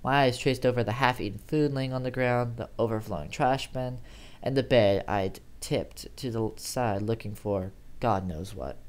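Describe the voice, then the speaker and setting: A man's voice: mid-range voice, normal speed. Man dramatically narrating a horror story. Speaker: amateur horror story reader.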